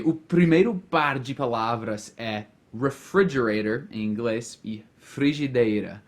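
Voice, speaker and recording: An American man speaking Portuguese as a learner with an American accent, explaining word pairs in a friendly, casual vlog. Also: medium pitch. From United States. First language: English. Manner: friendly, relaxed, explains with examples. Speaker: language vlogger.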